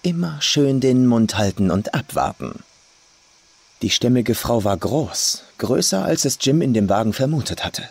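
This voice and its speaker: A man's voice: mid-range voice, sing-song, a little slow, gravelly, husky. A man reading a scripted horror story as an audiobook narrator. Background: faint atmospheric ambience.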